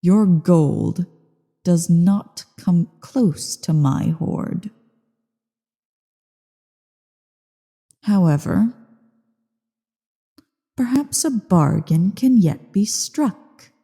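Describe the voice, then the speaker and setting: A woman voicing an ancient evil female dragon in a slow ASMR roleplay monologue, haughty, bored and menacing, with a low, husky, creaky delivery. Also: lively intonation, warm tone, exaggerated voice. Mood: haughty, bargaining. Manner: haughty, disdainful, deliberate. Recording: long cavernous reverb.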